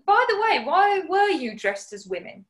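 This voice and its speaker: A woman playing a plucky, polite, sharp-witted housemaid in a radio comedy, chirpy and frank. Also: very high-pitched, sing-song, normal speed, heavily acted. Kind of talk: 1940s radio comedy acting.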